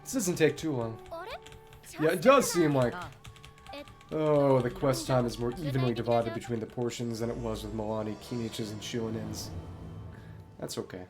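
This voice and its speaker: A man giving casual solo commentary as a game streamer, musing aloud in short, halting remarks. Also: medium pitch, over music.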